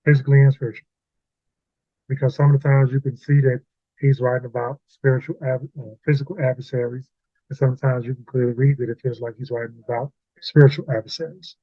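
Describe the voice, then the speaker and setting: A man's voice: mid-range voice, little pitch movement, fast, slightly rough, dry recording. A man co-hosting a casual scripture discussion, explaining passages to the listeners. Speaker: co-host of a Bible read-along discussion. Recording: narrow phone-like sound, very dry.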